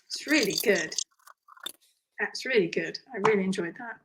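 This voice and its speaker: A hoarse woman with a croaky voice from strained vocal cords, a hoof care instructor talking animatedly and reading out viewer comments in a live session. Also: medium pitch, very noisy. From United Kingdom. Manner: animated and emphatic, quick asides.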